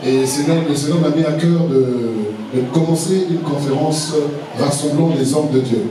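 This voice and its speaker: Man preaching in a booming echoey hall. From Congo-Brazzaville. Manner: measured, halting, with frequent pauses and restarts. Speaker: apostle leading a pastors' conference. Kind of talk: church conference address. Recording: echoey hall, distant, noisy.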